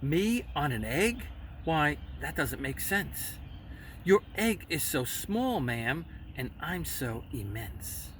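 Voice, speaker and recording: Man playing a huge faithful storybook elephant, gentle, earnest, deliberate, expressive pitch, faint background noise. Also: heavily acted. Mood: amused, incredulous.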